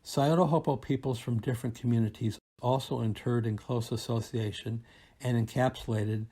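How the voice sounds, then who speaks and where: A man reading a scripted academic presentation aloud in a formal, measured and deliberate manner. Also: mid-range voice, steady tone, warm tone. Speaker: academic researcher presenting a paper.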